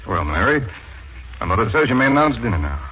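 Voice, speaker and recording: An actor playing a courteous, composed young heir of a wealthy family in a 1940s radio drama. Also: male voice, fairly low pitch, sing-song, fast talker, slight background noise. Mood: polite, amused. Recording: muffled 1940s radio recording, loud music.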